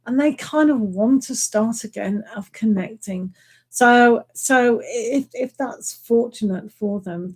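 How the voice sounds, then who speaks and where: A woman reading tarot cards live for viewers, chatty and full of ums, her voice hoarse from hours of talking. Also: mid-range voice, darkish tone. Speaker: tarot reader.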